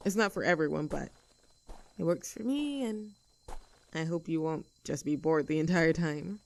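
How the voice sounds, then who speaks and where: A woman's voice: low voice, moderate pace, vocal fry, darkish tone. A woman playing a young, outdoorsy tomboy friend in an audio roleplay, chatting casually in an upbeat, caring and reassuring way. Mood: reflective, reassuring. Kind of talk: roleplay audio acting, casual intimate chat.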